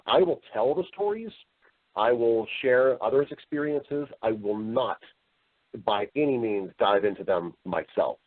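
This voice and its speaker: A man's voice: fairly low pitch, phone-quality sound. A man speaking as an interview guest, explaining his views in an earnest, emphatic, conversational way. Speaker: voice-over artist and storyteller.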